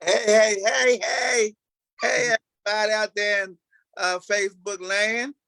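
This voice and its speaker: Actor guy just chatting, high bright voice, keeps stopping and restarting. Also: level tone, measured pace, creaky. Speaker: actor, interview guest. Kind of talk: casual chat.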